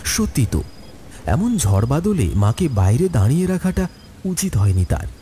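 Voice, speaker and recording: A man narrates a horror story in a dramatic, scripted style. His mid-pitched voice moves with lively, expressive swings. Clear storm and insect effects play behind him. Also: normal speed, slight background noise.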